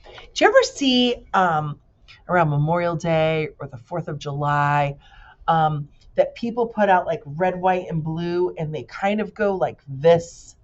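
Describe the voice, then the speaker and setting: A woman working as a teacher, reading a storybook aloud to students and pausing to explain words in a casual, direct way. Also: deep voice, animated. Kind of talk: storybook read-aloud with conversational asides. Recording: dull lo-fi recording.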